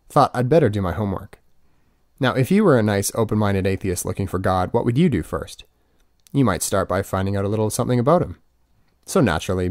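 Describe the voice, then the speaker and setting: A man's voice: on the low side, a little mellow, no room sound, studio quality. A man in his twenties reading his own audiobook in a wry, self-mocking manner. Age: 20s. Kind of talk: scripted audiobook narration.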